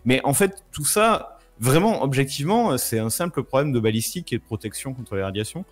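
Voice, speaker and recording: A man's voice: medium pitch, lively intonation, brisk, faint music behind. A man explaining things informally in casual chat, using slangy wording.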